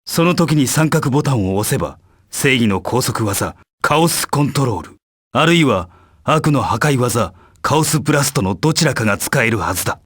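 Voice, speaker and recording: A man voicing an intense hedgehog antihero in a video game tutorial line, with a husky voice. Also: medium pitch, expressive, quick pace, a bit bright, no echo, clean studio sound. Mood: brisk, intense.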